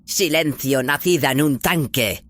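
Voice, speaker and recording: A man's voice: on the high side, measured pace, a bit bright, voice pushed up. A man voicing a fanatical, defiant female rebel leader in a declamatory, commanding way, in a dramatized sci-fi audiobook. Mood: scornful, commanding.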